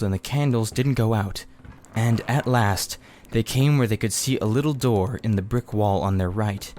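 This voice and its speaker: A man's voice: fairly low pitch, darkish tone. A man reading aloud a children's story as scripted audiobook narration. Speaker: audiobook reader.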